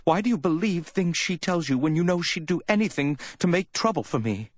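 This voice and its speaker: A man's voice: mid-range voice, normal speed, dry recording, voice pushed up, lightly acted. A man acts out a distraught woman in a hardboiled audiobook story. The voice is lifted well above his own, choked and whimpering. She pleads and wails with jealous indignation, recorded close and dry. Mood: indignant. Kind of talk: dramatic audiobook character reading.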